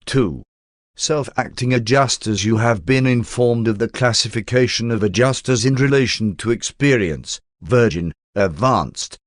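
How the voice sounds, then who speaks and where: A male narrator reads in a formal, audiobook style with careful enunciation. His voice sits at a medium pitch and is measured in delivery. The sound is very dry, with no room around it. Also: no room sound. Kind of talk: scripted audiobook-style reading of a religious text.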